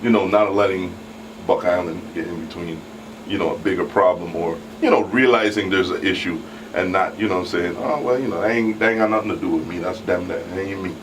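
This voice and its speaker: Deep voiced guy chatting on a talk show, casual, thinking out loud, a bit far from mic. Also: fairly quick. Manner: colloquial, reflective, voices imagined quotes. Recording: distant mic, clear background noise.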